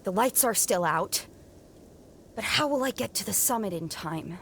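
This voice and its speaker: A woman's voice: mid-range voice, animated, fairly bright tone, slight background noise. A woman playing an earnest, determined young heroine burdened with guilt in a family audio drama. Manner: earnest, halting. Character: determined, guilt-ridden young heroine climbing a magical mountain.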